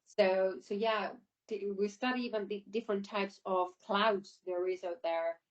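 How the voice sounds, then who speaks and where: A woman's voice: mid-range voice, fairly even intonation, a little slow. A woman giving informal, explanatory interview answers about her work, often repeating herself, over an online call. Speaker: air traffic controller being interviewed. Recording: dull lo-fi online call audio.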